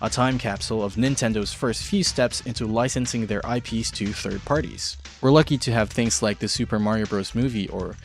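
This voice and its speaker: A man's voice: fairly low pitch, brisk, few pauses, soft background music. A man hosting an art tutorial video, narrating in a semi-scripted but casual way with playful, tongue-in-cheek asides. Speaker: video show host, art tutorial presenter.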